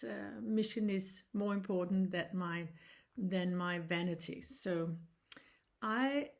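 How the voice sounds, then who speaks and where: A Danish woman in her sixties, a reflexology teacher speaking English as a second language, explaining in an earnest, halting way with frequent restarts. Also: mid-range voice, darkish tone. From Denmark. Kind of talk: informal online lesson.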